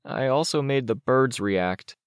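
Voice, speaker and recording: A man voicing an excitable, defensive young boy with a complaining, exclaiming manner in an audiobook story. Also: medium pitch, a little slow, clear, resonant tone, no echo, studio quality, voice pushed up, lightly acted. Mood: sheepish.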